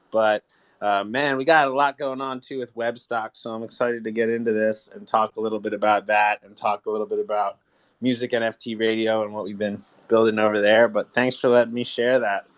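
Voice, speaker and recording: A man's voice: medium pitch, level tone, moderate pace, clear, resonant tone. Enthusiastic, slangy man in casual chat.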